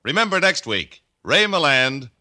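A man's voice: a little high, big pitch swings, measured pace, bright, forceful tone, no echo, studio quality. A man with an American accent reading scripted announcements in the formal style of 1950s radio.

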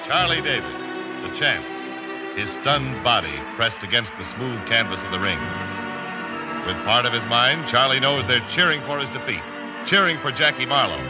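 A male announcer of old-time radio drama narrates in measured, theatrical phrases. His pitch is medium, and music plays clearly behind him. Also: lively intonation.

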